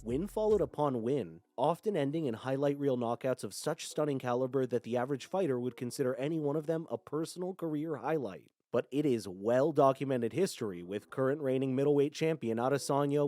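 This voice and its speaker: A man narrating a scripted video in an even, read-aloud delivery. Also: medium pitch, no room sound. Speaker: channel narrator.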